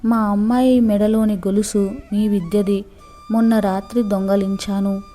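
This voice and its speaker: A woman's voice: mid-range voice, little pitch movement, unhurried, mellow, soft background music, lightly acted. A woman storyteller voicing an anxious, guilty village father in a children's story. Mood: pleading. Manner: pleading, curt with his wife.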